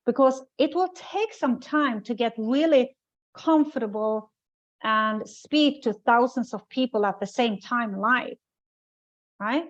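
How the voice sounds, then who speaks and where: A woman leads a business webinar as a coach. She speaks in a slightly high voice and stays emphatic, repeating her key points and checking in with a "right?". The sound is dull and lo-fi, like a webinar recording. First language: Swedish. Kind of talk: webinar teaching.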